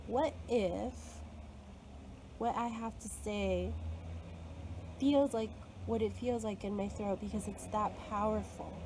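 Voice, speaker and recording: A woman talking alone in a reflective, earnest way, coaching herself and her listeners through fear. She fills her speech with "like" and laughs softly now and then. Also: mid-range voice, steady tone, slow pace, long pauses, a little hiss or hum, low-quality recording. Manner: earnest, self-coaching, frequent "like" fillers and soft laughs. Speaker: spiritual content creator. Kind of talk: reflective solo talk.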